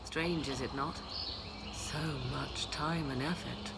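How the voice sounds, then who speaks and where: An actress playing a poised, learned and haughty sorceress in a video game, speaking in a measured, formal, theatrical way. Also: female voice, sits low, creaky, heavy background noise, heavily acted. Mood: musing. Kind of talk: video game dialogue acting. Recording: game music behind the voice.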